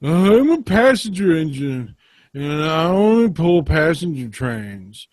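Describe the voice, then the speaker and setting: Man putting on a haughty, high-pitched train engine. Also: sing-song, slow pace, darkish tone, no room sound, clean studio sound, exaggerated voice. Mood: haughty, dismissive. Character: haughty, dismissive train engine in a spoof storybook.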